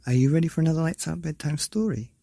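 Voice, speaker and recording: Mellow male children's podcast host. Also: a little high, fast talker, vocal fry, no echo. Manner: asks listeners questions, answers with approval. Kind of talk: bedtime story introduction addressed to children.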